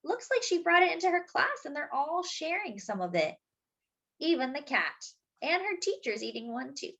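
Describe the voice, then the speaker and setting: Animated, high-voiced woman reading a picture book. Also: lo-fi sound. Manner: expressive, with drawn-out words and asides to young listeners. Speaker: children's storytime host. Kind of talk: picture book read-aloud for young children.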